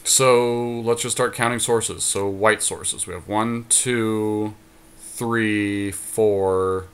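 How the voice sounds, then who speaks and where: A man talking casually through a card game, reasoning and counting aloud in a deliberate way. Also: lowish voice, steady tone, smooth. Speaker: podcast co-host and card game player.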